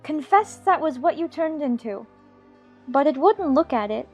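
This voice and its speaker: A woman's voice: high-pitched, expressive, measured pace, a little mellow, faint music behind. A woman playing a chatty, imaginative little girl in an audiobook, fond and bossy, prattling on in playful scolding. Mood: triumphant, bossy. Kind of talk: dramatized audiobook character acting.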